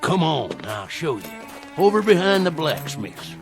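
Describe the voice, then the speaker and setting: A man's voice: fairly high pitch, wildly swooping pitch, a little slow, over music, exaggerated voice. An actor playing a sly, boastful old country man with a folksy Southern American drawl in an audio drama. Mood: sly, teasing. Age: older. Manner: folksy drawl, cackling, taunting. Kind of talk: old-time radio-style drama acting.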